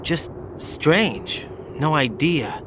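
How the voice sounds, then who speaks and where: A man's voice: a little high, animated, extremely slow, background noise. An actor in a video game cutscene playing a troubled young male soldier, intense and questioning. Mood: uneasy.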